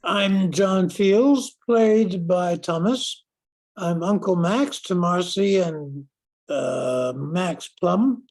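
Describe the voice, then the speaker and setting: Older man as creaky, slow rich uncle. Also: sits high, a little mellow, full character voice. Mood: reserved. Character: wealthy reclusive uncle, an older man who hates publicity.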